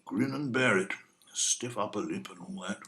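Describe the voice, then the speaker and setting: A man acting in an audio play as a resigned older English husband, laconic and deadpan, with an English accent. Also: on the low side, moderate pace. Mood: dry resignation.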